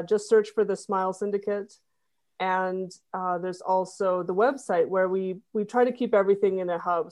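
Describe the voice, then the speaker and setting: A woman's voice: medium pitch, a little mellow. A woman who makes comedy fiction podcasts, a guest on an interview show, who talks in a rambling way with many restarts. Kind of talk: casual interview chat.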